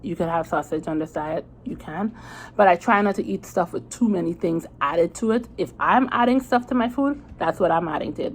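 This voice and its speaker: Chatty Trinidadian woman, lowish voice. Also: a little hiss or hum, poor audio. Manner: chatty, rambling, with many fillers and restarts. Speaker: vlogger. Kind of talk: casual chat to camera.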